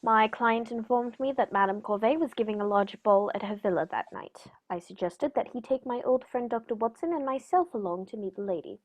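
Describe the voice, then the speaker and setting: A woman playing a courteous, composed English male detective with a measured, formal manner in a radio play. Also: a little high, close to an everyday voice, poor audio. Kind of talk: 1940s radio play acting.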